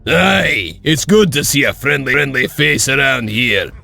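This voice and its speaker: An actor playing a gruff, bold and vengeful wild warrior in a video game, with a gravelly, raspy voice. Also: male voice, mid-range voice, expressive, a little slow, sharp tone, soft background music, full character voice. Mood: gleeful menace.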